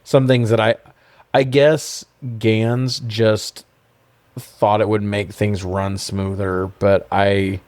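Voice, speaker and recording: Low-voiced man in casual conversation. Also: flat delivery, takes it slowly, darkish tone, no echo. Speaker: podcast co-host reviewing films. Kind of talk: casual film review chat.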